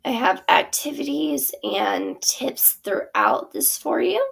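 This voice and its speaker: A woman's voice: on the high side, huge pitch swings, takes it slowly, vocal fry, a bit bright, slightly rough. A woman theater teacher giving a friendly instructional walkthrough of her curriculum over a screen share, with frequent ums and small self-corrections.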